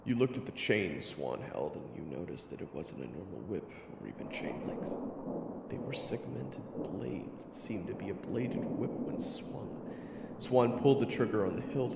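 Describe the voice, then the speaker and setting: Gravelly, echoing male story narrator. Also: deep voice, heavy background noise. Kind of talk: dramatic second-person story narration. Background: dramatic music.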